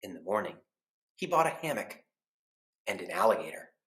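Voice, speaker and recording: A man narrating a children's storybook as a librarian would, expressively, with deliberate pauses between phrases. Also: sits low, big pitch swings, a bit bright. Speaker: school librarian reading aloud. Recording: echoey room.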